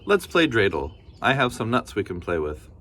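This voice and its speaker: A man voicing a kindly old grandmother who fusses warmly over her guest. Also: on the low side, big pitch swings, normal speed, heavily acted. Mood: doting. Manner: doting, gently scolding.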